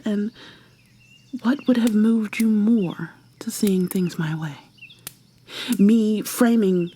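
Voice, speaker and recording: A woman playing an obsessive, coldly possessive killer in an intimate ASMR roleplay, soft and often whispery, with a deliberate, mock-sweet, threatening manner. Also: medium pitch, slightly rough. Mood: mock sweetness, then chilling confession. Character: obsessive, menacing female serial killer lover, coldly possessive.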